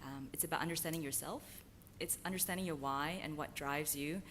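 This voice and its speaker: A woman's voice: low voice, fairly quick, a bit bright, a little hiss or hum. A woman from Malaysia with a slight American accent, giving a candid, self-deprecating, unscripted talk on a conference panel. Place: conference hall.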